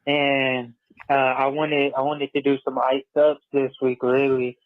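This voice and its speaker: A young man in his late teens calling in to a sports podcast, speaking slowly in a casual chat. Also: mid-range voice, no room sound. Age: young adult. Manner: slow, flat delivery. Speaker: caller. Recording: tinny phone line.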